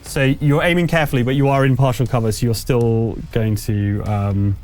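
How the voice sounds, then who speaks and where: A man's voice: medium pitch, steady tone, normal speed, a little mellow, soft background music. A man running a tabletop roleplaying game as game master, improvising narration and explaining rules to the players.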